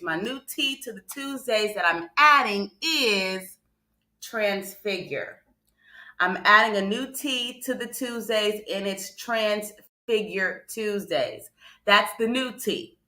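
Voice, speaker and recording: A woman's voice: mid-range voice, bright, forceful tone. A woman preaching motivationally on a morning livestream, emphatic, repeating key phrases and checking in with her listeners. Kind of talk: motivational sermon to a live online audience. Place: indoor room on a livestream. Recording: roomy, echoing livestream audio.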